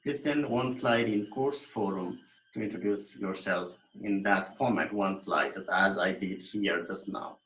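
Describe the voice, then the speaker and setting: A man, lecturing on a recording in a fairly low voice. His explanations are thoughtful and broken by fillers and restarts, and the room adds a noticeable echo. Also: unhurried, a bit bright. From Nepal. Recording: echoey room.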